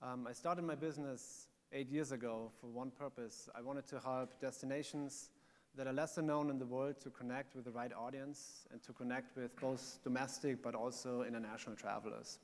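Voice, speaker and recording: A German-accented man giving a panel talk at a conference, earnest and explanatory, pausing on ums. His mid-pitched voice carries a long hall reverb. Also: fairly even intonation. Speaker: panel speaker.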